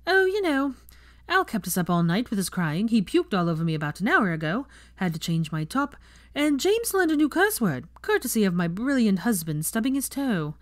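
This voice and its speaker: A woman's voice: mid-range voice, sing-song, moderate pace, voice pushed up, lightly acted. A woman voicing a tired, teasing young mother in an audiobook, with a dry, sarcastic manner. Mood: weary. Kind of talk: fan fiction audiobook character voice.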